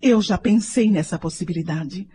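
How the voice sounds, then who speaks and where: A woman's voice: fairly low pitch, moderate pace, dark tone, natural voice. An actress playing a worried, devout middle-aged mother in a radio soap opera. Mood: worried, resigned. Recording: noisy radio drama recording with background ambience.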